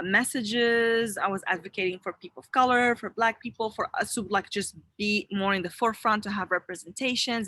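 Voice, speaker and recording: Bright-voiced woman from Morocco. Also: medium pitch, no room sound. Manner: earnest, rambling with many restarts and fillers. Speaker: interview guest, wellness founder. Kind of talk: casual interview talk. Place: online video interview.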